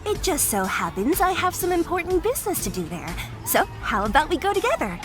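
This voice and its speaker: A woman's voice: very high-pitched, sing-song, brisk, music in the background. A woman playing a perky, outgoing female monster ally in a video game, bubbly and theatrical. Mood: breezy, upbeat. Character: perky, outgoing female Digimon, a helpful moderate Titan. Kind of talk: English video game voice acting.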